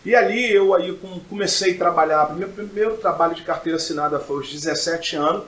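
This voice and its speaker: A man's voice: high voice, fairly quick, fairly bright tone, echoey room, a little hiss or hum, rough recording. A man, middle-aged, giving personal testimony as a podcast guest in an emphatic way, repeating phrases for effect. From Brazil. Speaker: podcast guest, pastor and preacher.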